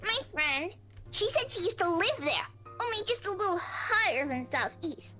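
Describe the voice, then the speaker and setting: A woman's voice: extremely high pitch, very animated, normal speed, pushed and bright, faint music behind, exaggerated voice. A woman voicing a chatty, earnest little schoolgirl in a visual novel game. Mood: chatty, hopeful.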